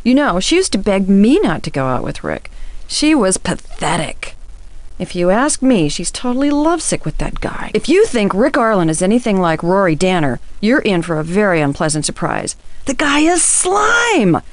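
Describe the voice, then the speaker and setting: A woman acts a sharp-tongued studio insider in a video game. Her delivery is snippy and dramatic, with a medium pitch that moves around a lot, in a dry recording. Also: sing-song, normal speed, dry recording, lightly acted. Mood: catty. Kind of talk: acted video game dialogue.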